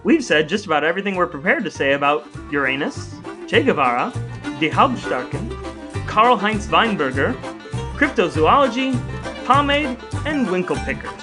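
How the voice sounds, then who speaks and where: A man's voice: high-pitched, big pitch swings, moderate pace, over music. A man hosting a podcast, delivering semi-scripted narration and questions in a wry, tongue-in-cheek way. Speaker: podcast host.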